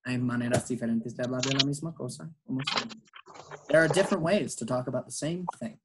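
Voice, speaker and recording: A man's voice: mid-range voice, fast talker, heavy background noise. Patient bilingual male teacher. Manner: patient, switches between English and Spanish. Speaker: English teacher. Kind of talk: online language class.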